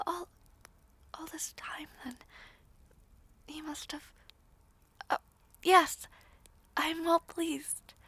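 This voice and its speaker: A woman's voice: extremely high pitch, a bit bright, no room sound, pitched above the performer's own voice, exaggerated voice. A woman playing a shy, humble young maid of noble birth in a fantasy audio roleplay, hesitant, polite and old-fashioned in speech. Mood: overwhelmed, grateful.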